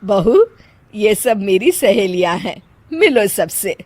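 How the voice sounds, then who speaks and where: A woman voicing an easily offended, bossy middle-aged mother-in-law in an animated story, with a scolding, exclamatory way of talking. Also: medium pitch, takes it slowly, no room sound, pitched below the performer's own voice. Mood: bossy, pleased. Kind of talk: animated moral story voice acting.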